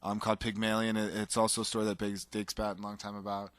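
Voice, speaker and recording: Low-voiced man rambling enthusiastically. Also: level tone, quick pace, a bit bright, no echo. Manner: enthusiastic, rambling with fillers and restarts. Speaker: podcast co-host. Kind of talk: casual chat.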